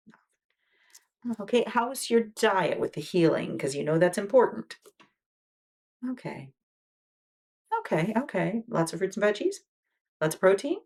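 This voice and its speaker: A woman doing an ASMR medical exam roleplay as a wound care clinician, talking gently and reassuringly, with many soft "okay"s and whispered asides. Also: medium pitch, husky.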